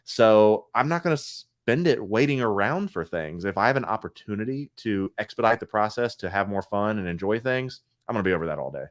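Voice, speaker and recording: Low-voiced man in his thirties chatting casually. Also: animated, brisk, few pauses, no echo, studio quality. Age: 30s. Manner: rambling, candid, restarts often. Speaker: co-host collector. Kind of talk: casual podcast chat.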